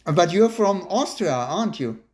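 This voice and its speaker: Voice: mid-range voice, lively intonation, normal speed, crowd noise. An interviewer from Germany, speaking casually and chattily with frequent laughs between remarks.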